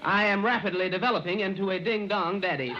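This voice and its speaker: A man's voice: sits high, fast talker, lightly acted. An actor playing a pompous, bookish man who stiffly tries out slang in precise, flowery words, in old-time radio comedy style. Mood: pleased, eager. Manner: pedantic, over-precise, flowery vocabulary. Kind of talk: 1930s-40s radio comedy acting. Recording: noisy, muffled old radio recording.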